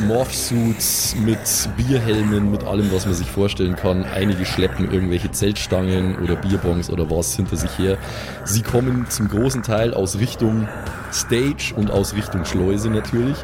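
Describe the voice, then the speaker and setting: A man's voice: fairly low pitch, steady tone, measured pace, noisy background. A man improvising descriptive horror narration as game master, feeling out his phrases as he goes. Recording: loud music behind the voice. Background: music.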